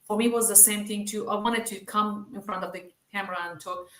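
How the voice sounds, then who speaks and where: A woman's voice: medium pitch, fairly even intonation, fast, a bit bright, reverberant, low-quality recording. A woman with a Persian accent, speaking English as a second language, reassuring others in a casual group chat with many hesitations. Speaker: team member sharing experience.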